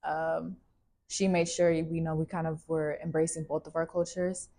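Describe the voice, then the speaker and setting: Young woman, low and level, laid-back. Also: normal speed. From California, USA. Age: young adult. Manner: relaxed, with many fillers like "like" and "you know". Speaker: interviewee. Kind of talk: casual interview, personal story.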